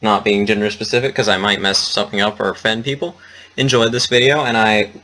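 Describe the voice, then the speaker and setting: A man's voice: fairly low pitch, fairly even intonation, brisk, sharp tone. A man giving casual, rambling let's play commentary in an awkward, self-deprecating way, with game music behind him. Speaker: let's player.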